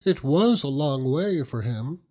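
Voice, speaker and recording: A man's voice: mid-range voice, lively intonation, a little slow, mellow, voice pushed up, close to an everyday voice. A man voicing a sweet, naive young lady, coy and petulant, for an audiobook. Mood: innocent concern. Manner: coy, ingenuous. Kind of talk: audiobook character voice.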